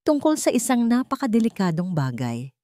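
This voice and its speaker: A cold, formal male lawyer in a story, voiced by a woman narrator. The delivery is measured and businesslike, in a dry recording. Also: a little high, very animated, dark tone, dry recording, voice pushed up.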